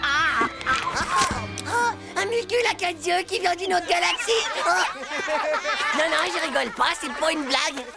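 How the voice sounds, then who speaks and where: A woman voicing a cheeky, hot-headed schoolboy of about ten in a French cartoon, with a creaky childlike voice and slangy, exclamatory delivery. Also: very high voice, expressive, moderate pace, pauses often. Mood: excited, mocking. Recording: music behind the voice.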